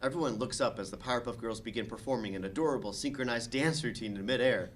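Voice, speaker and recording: A man with a mid-pitched voice serves as narrator for a table read. He reads scene headings and story narration aloud from a script. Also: fast talker, a little hiss or hum. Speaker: narrator reading stage directions.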